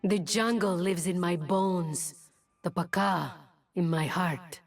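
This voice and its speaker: A woman playing a fierce, proud huntress and pack mother of a jungle tribe in a video game, speaking with a deliberate, commanding menace. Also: fairly low pitch, creaky, soft background music, full character voice. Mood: proud, solemn. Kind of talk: video game character voice lines.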